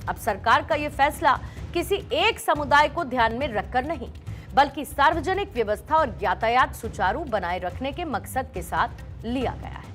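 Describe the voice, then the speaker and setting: High-pitched woman news anchor. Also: expressive, normal speed, a bit bright. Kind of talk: scripted broadcast news narration. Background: background music under the voice.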